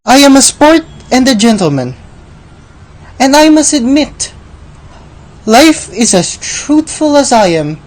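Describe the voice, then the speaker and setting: A woman playing Death as a suave, mocking gentleman in a theatrical radio drama, imperious and drawn-out. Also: high-pitched, big pitch swings, exaggerated voice, low-quality recording. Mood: wry, smug.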